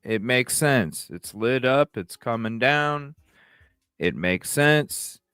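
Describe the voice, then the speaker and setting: A man's voice: medium pitch, lively intonation, takes it slowly, a bit bright, clear, resonant tone, dry recording, clean studio sound, faint music behind. A man hosting a livestream with casual, speculative commentary, repeating phrases and asking rhetorical questions. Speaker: livestream host.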